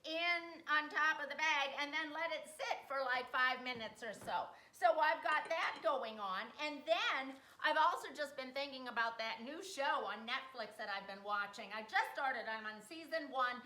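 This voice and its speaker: A woman's voice: sits high, normal speed, few pauses, bright, forceful tone, reverberant. A chatty, folksy woman from Wisconsin, hosting a comedic home cooking demonstration in her kitchen. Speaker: host.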